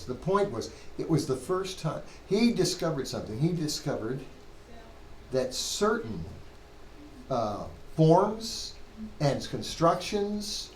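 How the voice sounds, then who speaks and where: A man's voice: medium pitch, a little mellow. Male lecturer in a roomy, noisy classroom. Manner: conversational, rhetorical questions and self-corrections. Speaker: seminary Hebrew lecturer. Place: classroom with students. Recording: roomy echo, clear background noise.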